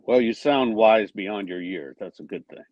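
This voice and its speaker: Low-voiced man in his sixties, dull lo-fi sound. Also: animated, normal speed, dry recording. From Pittsburgh area, US. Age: 60s. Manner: easygoing, joking, leisurely storytelling. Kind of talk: casual group chat. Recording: dull lo-fi voice chat audio.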